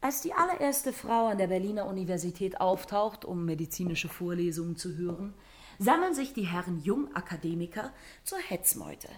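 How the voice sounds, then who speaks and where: An actress playing a combative, sarcastic feminist in a satirical radio play, emphatic and declamatory. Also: female voice, lowish voice, animated, normal speed, faint music behind. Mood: sarcastic outrage. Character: combative, sarcastic feminist woman debunking Prussian history.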